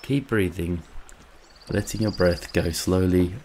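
A man narrating a scripted guided meditation in a calm, soothing, measured way, with long pauses. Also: sits low, slight background noise.

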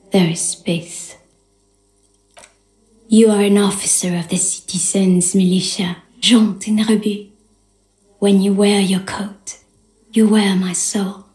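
Woman as an ancient city spirit in a game, breathy and tender, really slow and steady, echoey. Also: mid-range voice, lightly acted. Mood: reverent. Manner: slow, hushed, incantatory. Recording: echoing, reverberant voice effect.

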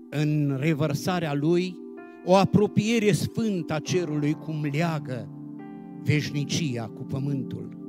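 A man reciting devotional poetry solemnly and expressively, with dramatic phrasing and deliberate pauses. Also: fairly high pitch, darkish tone. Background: instrumental music.

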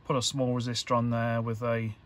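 A man giving an informal hands-on tutorial, talking in a halting, hesitant way as he thinks aloud. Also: medium pitch, completely flat delivery. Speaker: electronics hobbyist presenter.

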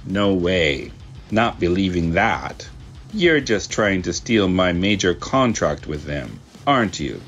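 A man's voice: low-pitched, big pitch swings, slow, fairly bright tone, highs cut off, a little hiss or hum, full character voice. A man voicing a cocky, suspicious young office salesman in a manga dub, boastful and dismissive. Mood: defensive, dismissive. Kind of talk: manga dub character acting.

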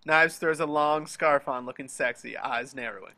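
A man's voice: medium pitch, normal speed, gravelly, very noisy. A man narrating scripted action and scene headings for an amateur table read. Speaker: stage-direction reader. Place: home, group video call.

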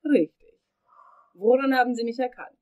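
An actress playing a vengeful, unhinged dental assistant turned killer in a German crime radio drama, with dramatic pauses. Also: female voice, fairly high pitch, mellow, muffled recording, natural voice. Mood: menacing, scornful. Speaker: voice actor.

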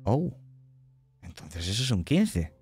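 A man with a low voice narrates a tabletop horror game as its game master. Faint music plays behind him. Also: big pitch swings, slow, gravelly, darkish tone.

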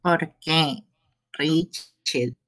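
A performer voicing a creepy, sweet-talking boy killer from a horror game, in a soft, lingering, pleading manner. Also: medium pitch, very slow. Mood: wounded pleading.

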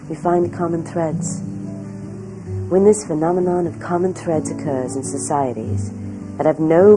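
A woman narrating a documentary from a script in a measured way, often posing questions. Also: sits low, poor audio.

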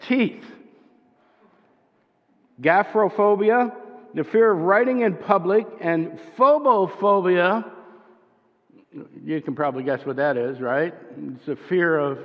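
Older pastor preaching in a reverberant church. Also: male voice, high-pitched. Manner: wry, conversational preaching with dry jokes. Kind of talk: sermon. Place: church sanctuary at a wooden pulpit. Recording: dull sound with long church reverb.

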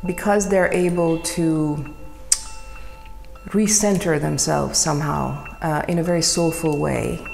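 A middle-aged woman from Iran with a low, gravelly voice talks about her work in a slow, reflective way, often pausing and restarting to find the right words. She is recorded in a roomy space with a long echo tail and a faint background hum. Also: darkish tone, faint music behind. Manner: thoughtful, searching for words, many fillers and restarts. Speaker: photographer. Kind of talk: reflective interview monologue.

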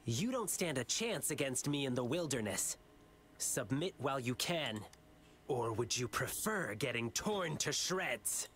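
An actor playing a youthful, righteous hero in a video game, earnest and expressive. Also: male voice, mid-range voice, unhurried, a little hiss or hum. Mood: taunting. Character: youthful heroic lion dancer boy, spirited and righteous.

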